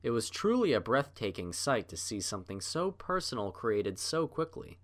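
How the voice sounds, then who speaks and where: A man narrates scripted fiction in a medium-pitched voice. His delivery is measured and literary, with very varied intonation that shapes each phrase. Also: sing-song, a bit bright.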